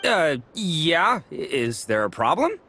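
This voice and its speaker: A man's voice: fairly high pitch, sing-song, slow pace, pushed and bright, heavily acted. A man playing a brash, boisterous young gang boss fighter in a video game, with a cocky, slangy, showboating manner. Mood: puzzled. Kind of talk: animated video game voice acting.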